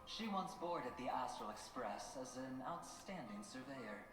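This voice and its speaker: An actor playing a self-assured male gambler-schemer in a video game, speaking in a theatrical, teasing, deliberate storytelling manner. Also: medium pitch, lively intonation, natural voice. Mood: measured storytelling. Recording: echoey game audio under music.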